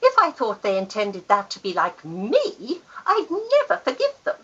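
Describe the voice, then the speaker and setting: A woman's voice: a little high, huge pitch swings, a little slow, husky, lo-fi sound, heavily acted. A woman acting a grand, gushing, easily affronted society aunt in a Victorian radio farce, theatrical and emphatic.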